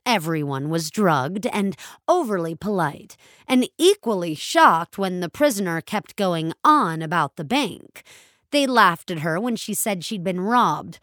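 Woman, fiction narrator, measured storytelling, unhurried pace, animated intonation, dry sound. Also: fairly low pitch, no echo. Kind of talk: scripted dramatic audio fiction narration.

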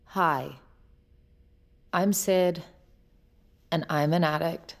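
An actress playing a troubled, weary woman with a husky, gravelly voice, brooding and confessional in an intimate audio drama. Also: deep voice, fairly even intonation, extremely slow, no echo, full character voice. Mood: weary, hesitant. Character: troubled, weary, suspicious woman, a relapsed addict.

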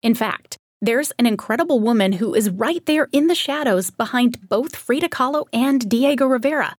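A woman's voice: on the high side, expressive, moderate pace, no echo, studio quality. A woman hosting an art history podcast, reading a scripted narration in a conversational way with wry asides. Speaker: podcast host.

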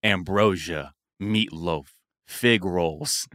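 A man in his thirties in a casual podcast chat, animated and profane, often breaking into laughs. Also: sits low, drawn-out words, dry recording, studio quality. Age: 30s.

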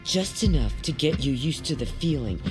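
A woman's voice: deep voice, lively intonation, moderate pace. An actress in an audio drama playing a stern, exacting occultist woman, grave and blunt as she gives instructions. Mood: grave, instructing. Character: stern, exacting female occultist ritual leader. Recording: music bed behind dialogue.

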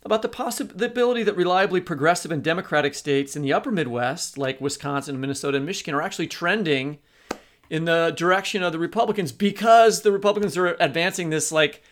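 A man with a slightly high voice hosts an interview podcast. He talks in a discursive way, often reworking his phrasing as he goes. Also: fast talker, a bit bright. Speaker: podcast co-host. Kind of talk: introduction and interview questions.

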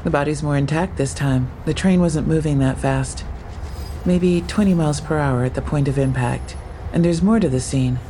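An actress playing a matter-of-fact police officer briefing a colleague in an audio drama. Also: female voice, deep voice, normal speed, warm tone, a little hiss or hum, close to an everyday voice.

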